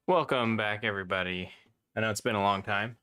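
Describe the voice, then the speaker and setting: A man's voice: lowish voice, a little fast, sharp tone, clean tone. A man streaming a hands-on console mod at his workbench, chatting casually and rambling with lots of fillers while he gets over a slight cough from a cold. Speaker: electronics modder streaming a console mod install. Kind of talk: casual live-stream talk while working.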